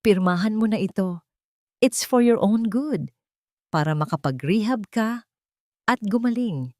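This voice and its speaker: Woman narrator doing a scheming husband in an audio drama, soft fake-kind coaxing, close dry sound. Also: medium pitch, lively intonation, unhurried, no echo, pitched above the performer's own voice, close to an everyday voice. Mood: falsely tender. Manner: softened, coaxing, falsely kind. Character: coldly manipulative husband, feigning soft, tender concern.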